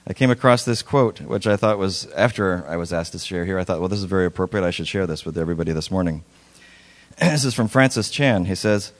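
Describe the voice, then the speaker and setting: A middle-aged man from Lebanon, a missionary, speaking at a church in a halting, folksy way with many fillers. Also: low voice. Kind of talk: church talk with slides. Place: church sanctuary.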